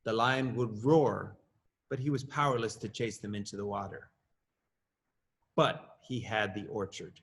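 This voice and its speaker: A middle-aged man, an assistant principal, reading his own children's story aloud with lively rises in pitch. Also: a little slow, pauses often. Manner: expressive, lively rises on questions and exclamations.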